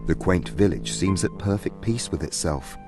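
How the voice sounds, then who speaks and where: A man narrating a scripted fantasy story in a dramatic, deliberate way. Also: low voice, dark tone. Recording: music behind.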